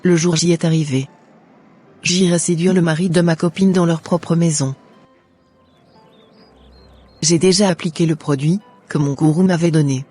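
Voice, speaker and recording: Husky, scheming young temptress played by a woman. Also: lowish voice, steady tone, moderate pace, long pauses, mellow, soft background music. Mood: confident, plotting. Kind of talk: scripted cartoon dialogue and narration.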